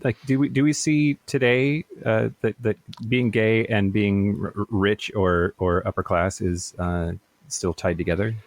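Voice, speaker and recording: A man from rural Eastern Washington co-hosts a casual podcast. His voice is low and recorded dry and close, and he feels his way through questions, stopping and restarting as he looks for the right words. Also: fairly even intonation, measured pace, no room sound. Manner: hesitant, searching for words. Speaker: podcast co-host. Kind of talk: casual chat.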